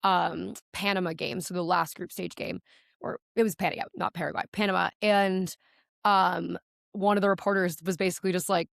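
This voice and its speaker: A woman's voice: lowish voice, fairly even intonation, normal speed, creaky, dry recording, clean studio sound. A woman from Texas chatting casually as a podcast guest, informal and peppering her talk with filler words like "like" and "kind of". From Dallas, Texas, United States. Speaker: podcast guest, sports publicist, writer and presenter. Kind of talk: casual chat.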